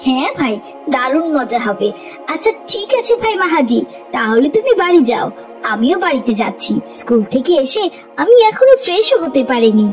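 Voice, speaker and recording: A woman's voice: sits high, very animated, normal speed, a little mellow, soft background music. A woman voicing a polite, obedient young schoolboy in a cartoon. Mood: friendly, proud. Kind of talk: cartoon voice acting.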